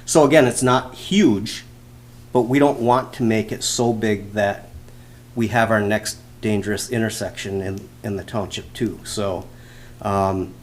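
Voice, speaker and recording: A man with a lowish voice gives a conversational, upbeat update at a public meeting. A faint hum sits behind him. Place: township board meeting room.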